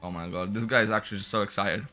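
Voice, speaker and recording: A man giving casual, chatty and excitable playthrough commentary as a gaming streamer, full of slang. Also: lowish voice, racing. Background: video game music.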